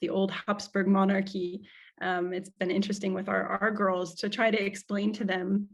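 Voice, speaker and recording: An American woman answering interview questions on a video call, speaking softly with many restarts. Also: medium pitch, flat delivery. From United States. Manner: soft-spoken, halting, with many restarts. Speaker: guest speaker.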